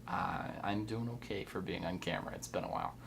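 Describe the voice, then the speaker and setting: A man's voice: deep voice, steady tone, fairly quick, gravelly, a bit bright, slight background noise. A man conducting a casual interview, asking curious short questions with frequent ums.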